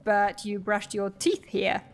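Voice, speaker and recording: A woman playing a dry, exasperated office colleague in a comedy audio drama, deadpan and incredulous. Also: mid-range voice, takes it slowly, vocal fry.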